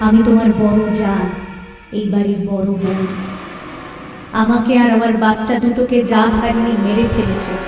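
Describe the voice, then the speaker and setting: A woman's voice: a little high, fairly even intonation, normal speed, long pauses, lightly acted. An actress voicing the ghost of a young wife who was killed with her children, urgently warning her sister-in-law to flee. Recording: echoey, distant, long reverb over music.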